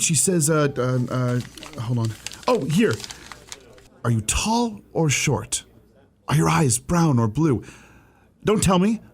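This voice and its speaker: Man playing an earnest, husky young clerk. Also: a little high, exaggerated voice. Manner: eager, hesitant stammers, frank. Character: earnest, lovestruck, outspoken young male shop clerk. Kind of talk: radio play acting.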